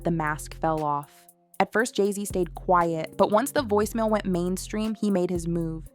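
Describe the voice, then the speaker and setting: Woman narrator w lowish voice doing dramatic scripted gossip stuff, punchy lines, faint music under it. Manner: dramatic, emphatic short phrases. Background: background music.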